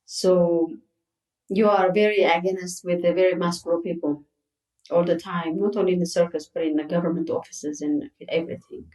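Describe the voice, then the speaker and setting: A woman's voice: fairly low pitch, steady tone, moderate pace, darkish tone, echoey room, poor audio. A middle-aged woman from Ethiopia who runs a circus, answering interview questions earnestly and repeating words for emphasis. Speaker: circus founder and guest.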